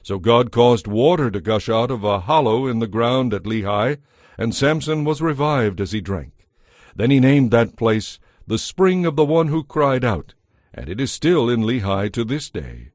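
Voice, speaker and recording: A man narrating a dramatized scripture audiobook in a measured, solemn storytelling manner. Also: mid-range voice, lively intonation, no room sound, studio quality.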